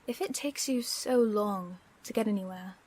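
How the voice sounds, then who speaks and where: A woman playing a sassy, flirtatious and dangerous villainess in an audio roleplay, protective and suspicious, with a husky voice and sharp-tongued teasing. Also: medium pitch, normal speed, lightly acted. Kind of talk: audio roleplay drama acting.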